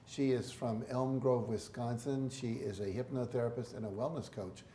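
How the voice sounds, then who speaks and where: Brief: male host, mellow warm tone, roomy echo, faint hiss. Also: medium pitch, steady tone, moderate pace. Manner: friendly, affirming, short interjections. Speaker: interview host. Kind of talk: talk show hosting.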